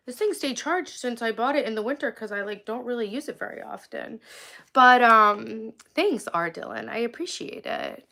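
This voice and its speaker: A woman in her forties from Long Island, with a slightly high voice roughened by vocal fry. She rambles through a casual live stream, leaning on "like" constantly. She is outdoors under a tree and sounds sniffly from a cold. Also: expressive, normal speed, sharp tone. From Long Island, New York. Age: 40s. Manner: rambling, heavy use of "like". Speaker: livestream vlogger.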